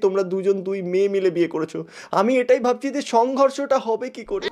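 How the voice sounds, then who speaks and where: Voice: mid-range voice, fast, a little mellow. A person talking casually in a plaintive, insistent, pleading and argumentative way. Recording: music behind the voice. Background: music.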